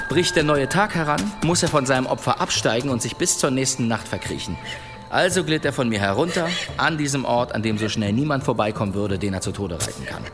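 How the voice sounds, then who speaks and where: A man in a German radio play acting a self-assured adventurer who tells tall tales in florid, measured storytelling. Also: mid-range voice, natural voice.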